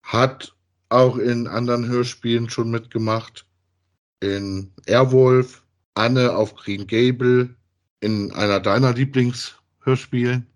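A man's voice: mid-range voice, steady tone, slow. A man co-hosting a podcast, speaking in a casual, conversational style. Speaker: podcast co-host. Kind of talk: casual chat about audio drama voice actors.

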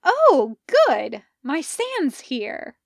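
Narrator lady doing a sneaky cheerful woman in an audio story, chirpy, brushes people off, high voice. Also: very animated, drawn-out words, creaky, dry recording, clean studio sound, full character voice. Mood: delighted. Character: bright, breezy, mischievous woman, pleased schemer.

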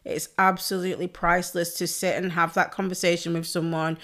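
Hoarse, sweary woman podcaster. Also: on the low side, level tone, a little fast, fairly bright tone. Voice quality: chatty, sweary, laughs often. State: hoarse, losing her voice. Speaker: podcast host. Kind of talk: casual solo podcast chat.

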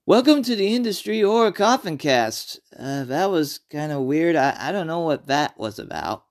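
A man hosting a horror podcast alone, talking casually and haltingly, often repeating and restarting his phrases. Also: on the high side, very animated. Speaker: podcast host and amateur horror writer. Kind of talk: casual solo podcast talk.

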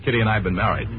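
An actor playing an earnest, well-bred young upper-class husband in a 1940s radio drama, courteous in manner. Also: male voice, fairly low pitch. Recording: tinny, noisy 1940s radio broadcast.

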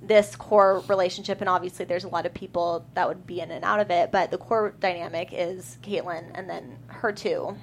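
A woman's voice: lowish voice, steady tone, normal speed, few pauses. A woman speaking casually as a writer on a discussion panel. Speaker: screenwriter being interviewed. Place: indoor studio panel. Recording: clear room noise.

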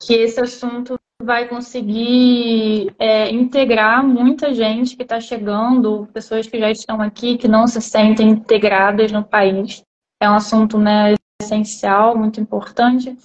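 A Brazilian-accented woman hosts a relaxed live chat, her voice sitting a touch high. She talks loosely, with lots of fillers and little tag questions. The sound is somewhat muffled, like lo-fi stream audio. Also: a little slow. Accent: Brazilian Portuguese. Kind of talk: casual live interview.

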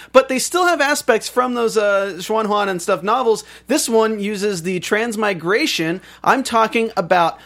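A man's voice: high-pitched, a bit bright. A man hosting a podcast interview, talking casually with many fillers and restarts. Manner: chatty, with frequent fillers and restarts. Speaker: podcast host.

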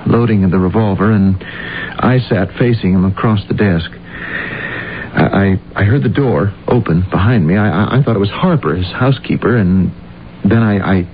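Guy playing jittery stammering secretary in his 40s, lowish voice, tinny old radio show. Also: moderate pace, a little hiss or hum, natural voice. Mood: nervous. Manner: hesitant, stammering. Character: nervous, meek, stammering 48-year-old male secretary. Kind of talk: old-time radio drama acting.

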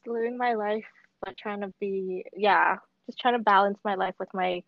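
A woman's voice: mid-range voice, steady tone, moderate pace, vocal fry, dry recording. Woman guest calling in from Hong Kong, rambling and chatty, dry dull line. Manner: chatty, many fillers and restarts. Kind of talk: casual remote interview.

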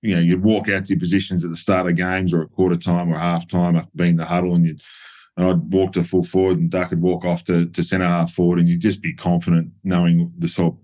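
A middle-aged Australian man with a very deep voice recalls his playing days. He talks in a loose, halting way, full of ums and restarts, now and then chuckling at his own stories. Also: little pitch movement, darkish tone. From New South Wales, Australia. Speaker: former footballer, guest. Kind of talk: relaxed reminiscing interview.

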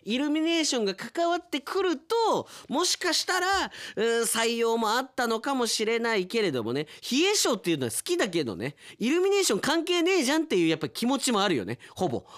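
Male radio host, very animated and swooping in pitch, chatty and wry, casual commentary on listener mail. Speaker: radio announcer hosting a radio drama segment.

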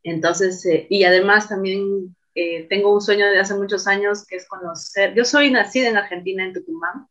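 A woman's voice: medium pitch, normal speed, hardly any pauses, a bit bright. A woman guest on a radio interview, talking reflectively with many fillers and tag questions. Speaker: interview guest, cultural manager. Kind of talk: casual conversation on radio. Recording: dull lo-fi radio audio.

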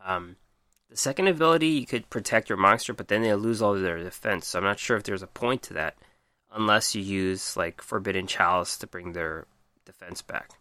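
Hoarse man reviewing cards. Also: sits low, a little fast, pushed and bright, no echo, studio quality. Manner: reads card stats then comments, many ums. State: losing his voice. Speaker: podcast host. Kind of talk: casual card game review.

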